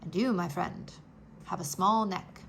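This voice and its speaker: A woman voicing a male mouse threadmaster, polite and secretive, speaking in a low hushed murmur. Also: fairly even intonation, a little slow, creaky, a little hiss or hum.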